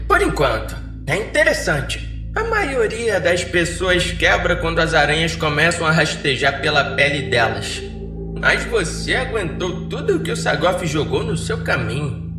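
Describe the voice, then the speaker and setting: A man's voice: a little high, very animated, a little slow, voice pushed up. A man voicing a bossy, condescending young mystical girl in a horror audiodrama, with a Brazilian accent. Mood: cool, impressed. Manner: scolding, condescending. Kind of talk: Portuguese audiodrama acting. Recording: echoey, distant, music underneath.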